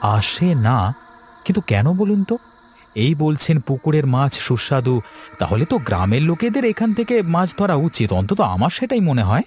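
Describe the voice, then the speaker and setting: A man's voice: a little high, very animated, normal speed, darkish tone, soft background music. A man voicing a confident, eager young city man in a cartoon story. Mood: curious.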